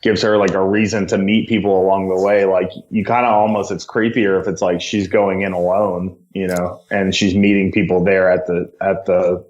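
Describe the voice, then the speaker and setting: A man's voice: low voice, little pitch movement, normal speed, few pauses, a little mellow, dull audio. A man who is a guest on a podcast, rambling casually with lots of "like" and "or whatever". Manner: rambling, heavy use of "like" and "or whatever". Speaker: podcast guest, film director. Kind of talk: casual brainstorming chat.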